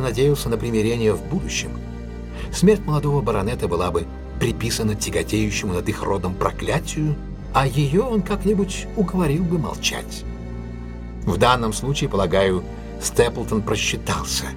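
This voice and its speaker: An actor playing a shrewd detective in an audio drama, laying out a case in a measured, articulate way with deliberate pauses. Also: male voice, mid-range voice, lightly acted. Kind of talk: audio drama acting, expository monologue.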